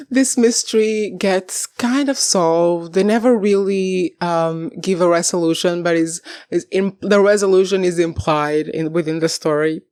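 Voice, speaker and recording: A young woman from Portugal chatting casually to camera about books, rambling and animated, laughing often and swearing freely, her voice on the deep side. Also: measured pace, dry recording, studio quality. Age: young adult. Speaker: book vlogger.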